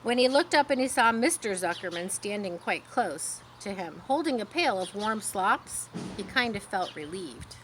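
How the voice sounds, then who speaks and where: A woman reading a children's story in an expressive storytelling style, with informal asides. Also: medium pitch, normal speed, hardly any pauses, rough recording. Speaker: storybook reader. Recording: faint noise with birdsong behind. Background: birdsong.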